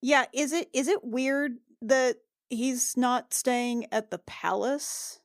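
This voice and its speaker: A woman playing a tabletop roleplaying game, improvising dialogue in a casual, halting, informal way. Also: high voice, no room sound, clean studio sound.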